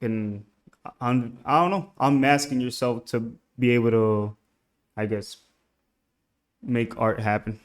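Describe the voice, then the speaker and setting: Soft-spoken Puerto Rican young man. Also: medium pitch, fairly even intonation, measured pace, long pauses. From Puerto Rico. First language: Spanish. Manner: hesitant, soft-spoken, trailing off. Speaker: musician guest. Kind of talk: casual interview over a call.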